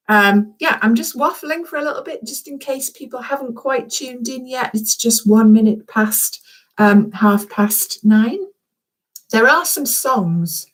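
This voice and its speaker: A woman's voice: mid-range voice, poor audio. A woman who is a children's author, chatting informally and encouragingly to young schoolchildren from a room at home, often checking in with little questions. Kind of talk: chatty, informal live video address to schoolchildren.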